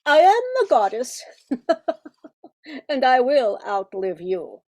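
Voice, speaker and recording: A woman's voice: high voice, sing-song, slow, a little mellow, full character voice. A woman playing a regal, proud captive goddess in an amateur radio play, imperious and formal. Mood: haughty, defiant.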